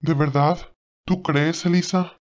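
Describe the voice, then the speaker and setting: A woman's voice: deep voice, steady tone, slow pace, darkish tone. A woman voicing a whiny, spoiled young man with a tearful, childish, pleading manner in an audio story. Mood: sniffling hope.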